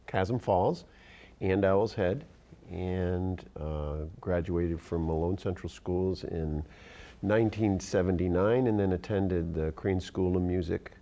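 Deep gravelly man in his fifties. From Northern New York. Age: 50s. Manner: soft-spoken, reflective, pauses often. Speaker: music educator guest. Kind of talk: relaxed interview answers.

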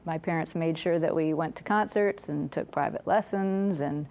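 New woman, lowish voice, matter-of-fact, talking about her music teaching. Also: normal speed, darkish tone. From Central New York. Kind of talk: casual interview answers.

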